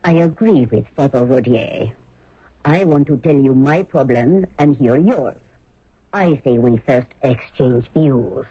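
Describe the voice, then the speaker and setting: Husky, firm French nurse. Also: mid-range voice, animated, takes it slowly. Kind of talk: radio drama acting. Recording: muffled old radio broadcast.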